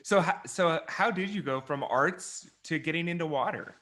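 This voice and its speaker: A man interviewing a guest over a video call, friendly and a little halting. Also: a little high, pushed and bright.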